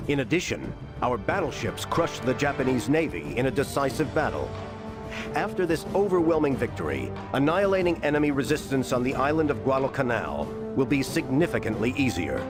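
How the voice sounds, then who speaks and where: Wartime briefing narrator, male actor: confident, mid-pitched, animated scripted game read over a very loud score. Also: brisk, natural voice. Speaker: campaign narrator. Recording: very loud music behind. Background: very loud orchestral music.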